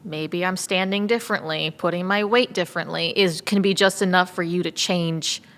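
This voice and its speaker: A woman voice actor chatting casually about her craft in a giggly, animated way. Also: fairly low pitch, moderate pace. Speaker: voice actor with theater background. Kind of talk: casual chat about acting process.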